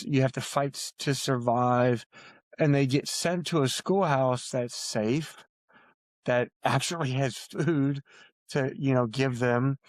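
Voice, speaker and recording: A man's voice: mid-range voice, no echo. A man speaking as a guest author in an interview, in a reflective, storytelling way with emphatic points. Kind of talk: earnest conversational interview answers.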